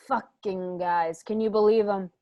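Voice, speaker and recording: A woman's voice: mid-range voice, steady tone, takes it slowly, darkish tone, no echo, studio quality, lightly acted. A woman playing a domineering, exasperated, foul-mouthed mob boss in a crime radio play, with a brash and emphatic delivery. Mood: exasperated anger.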